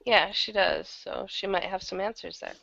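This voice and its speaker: A woman chatting casually as an interview guest, with a gravelly creak in her voice, over a lo-fi online call. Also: medium pitch, moderate pace, a bit bright. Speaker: interview guest, audio drama producer. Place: online video hangout.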